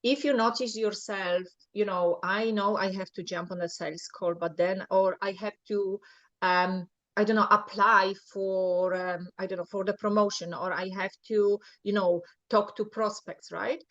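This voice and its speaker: A woman's voice: medium pitch, fairly even intonation, a little slow. Polish lady coach talking earnest, keeps asking right?, muffled lo-fi sound. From Poland. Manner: earnest, often checks in with "right?". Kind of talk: coaching advice in an interview. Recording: muffled lo-fi audio.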